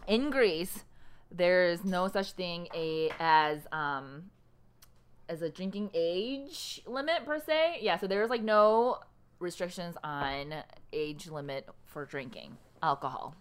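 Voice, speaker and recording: Laughing, chatty woman with a low, lively voice. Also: slow pace, clean tone. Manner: laughs often, loose and chatty, swears casually. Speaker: podcast co-host. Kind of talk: casual chat.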